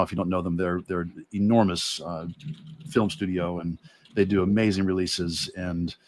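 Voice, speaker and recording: Low-voiced man talking through his craft. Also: no room sound. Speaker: guest illustrator and art teacher. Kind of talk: casual explanatory livestream talk.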